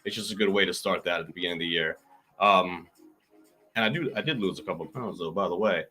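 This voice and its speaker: Casual male podcast co-host, punchy hype-man delivery, bright edge. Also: deep voice, fast. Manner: hype-man openings, emphatic repeats.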